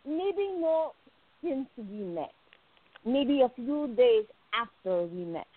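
Woman acting playful young woman in love, radio play, high voice, takes her time, very dry close sound. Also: very animated, slow pace, breathy edge, dry recording, narrow, tinny audio, exaggerated voice. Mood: teasing, ardent.

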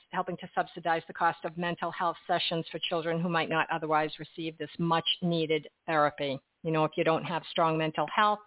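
A woman hosting a radio talk show and reading her sign-off in a polished, gently emphatic way. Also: low-pitched, no room sound, phone-quality sound.

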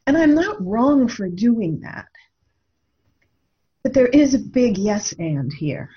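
A woman's voice: fairly high pitch, sing-song, slow pace, husky, muffled recording. A woman speaking reflectively in a discussion, earnest and thoughtful, often posing rhetorical questions. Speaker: radio show co-host and author.

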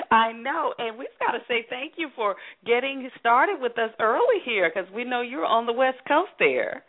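A woman's voice: fairly high pitch, lively intonation, moderate pace. A woman hosting an interview show, chatty with many fillers, heard over the phone. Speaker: host. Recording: phone line, narrow band.

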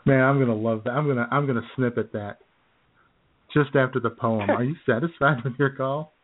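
A man co-hosting a radio show, chatting casually with a relaxed, amused manner. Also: mid-range voice, a little fast, slight background noise. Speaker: co-host. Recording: tinny phone-like audio.

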